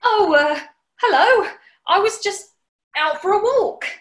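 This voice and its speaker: A woman acts a plucky British housemaid in a vintage radio comedy. The voice is very high and polite, with a nervous, hesitant manner and roomy echo around it. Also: expressive, takes it slowly, a bit bright, exaggerated voice. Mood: flustered. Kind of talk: 1940s radio play acting.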